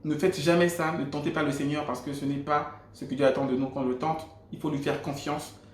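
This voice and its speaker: Man, Gabonese French accent, fairly high voice, earnest faith testimony, echoey room. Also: level tone, fairly quick, slight background noise. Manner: earnest storytelling with frequent restarts. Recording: roomy echo.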